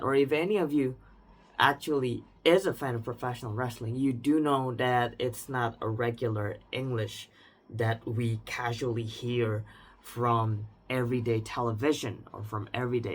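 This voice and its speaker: A man in his twenties with an Indonesian accent, speaking unscripted in a reflective personal monologue, often restarting and hedging. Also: medium pitch. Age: 20s. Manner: reflective, with frequent restarts and hedges like "I would say". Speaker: vlogger.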